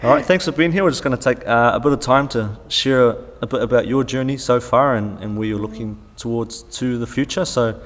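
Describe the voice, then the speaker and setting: A man's voice: mid-range voice, fairly quick, few pauses, in a large hall. A man hosting an interview, asking casual questions with frequent uhs and restarts. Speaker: interviewer, coach.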